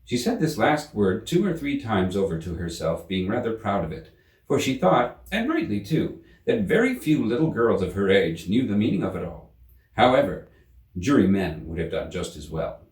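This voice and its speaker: A man reading a children's story aloud as an expressive storyteller. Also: lowish voice, moderate pace. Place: indoor room. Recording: roomy, slightly distant microphone.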